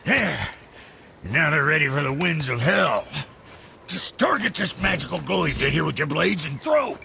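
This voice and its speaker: An actor playing a brusque, jittery blacksmith in a video game, gravelly and hurried. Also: male voice, a little high, big pitch swings, sharp tone, a little hiss or hum, full character voice. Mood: instructive. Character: brusque, jittery, grumbling blacksmith, eager to hurry. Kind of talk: animated video game acting.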